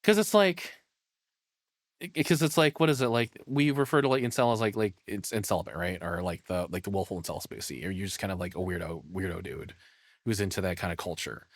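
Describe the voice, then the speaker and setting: A man's voice: low-pitched, a little fast, no echo, clean studio sound. A man giving casual live reaction commentary as a streamer, blunt and profane, rambling through his opinions as he thinks aloud.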